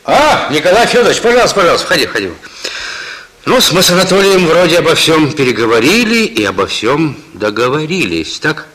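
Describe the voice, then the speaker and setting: A man's voice: on the high side, sing-song, fairly quick, a bit bright, rough recording. An actor in a Soviet radio drama playing a seasoned police chief, stern but fatherly, who admonishes and repeats his words. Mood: brisk.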